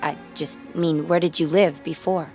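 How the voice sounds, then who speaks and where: A woman's voice: low-pitched, steady tone, moderate pace, a little mellow, lightly acted. A young woman playing a frank, curious schoolgirl in a radio drama, casual and wry in manner. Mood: soft, curious. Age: young adult. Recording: music bed and vehicle sounds behind.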